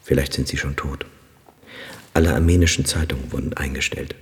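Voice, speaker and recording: A resigned, cautious Armenian village priest in a radio drama. His voice is extremely deep and rings in a long reverb. The delivery is formal, solemn and measured. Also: fairly even intonation. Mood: grim. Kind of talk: German radio drama acting.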